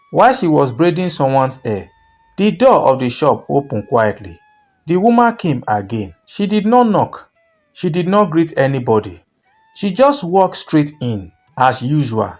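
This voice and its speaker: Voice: medium pitch, a little mellow. A narrator telling a scripted folktale in a dramatic storytelling style.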